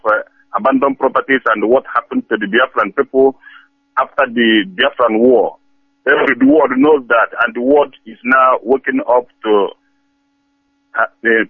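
A tinny, narrow phone line carries a Nigerian man calling a radio show. He speaks at a medium pitch, earnest and emphatic, halting now and then as he exhorts listeners. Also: dry recording. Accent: Nigerian Igbo-region. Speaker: phone-in caller. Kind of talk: earnest political monologue.

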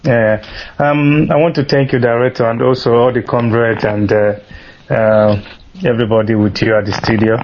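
A man's voice: mid-range voice, level tone, normal speed. A man with an accent from southeastern Nigeria calling in to a radio show, speaking formally, hesitantly and deferentially. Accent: Nigerian Igbo-region. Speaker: phone-in caller. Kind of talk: formal greeting to a radio host. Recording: muffled internet call, faint noise, clicks.

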